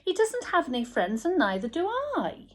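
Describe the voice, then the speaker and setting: Woman voicing an earnest little boy beaver, high and lonely. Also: sing-song, moderate pace. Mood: puzzled, earnest. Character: lonely young male beaver, earnest and plaintive.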